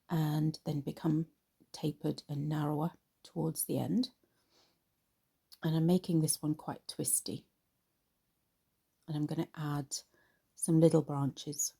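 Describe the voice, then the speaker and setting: A woman hosting an art tutorial, narrating in an unhurried, informal way, her voice slightly croaky after a cold. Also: low-pitched, dark tone. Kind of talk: relaxed painting tutorial narration.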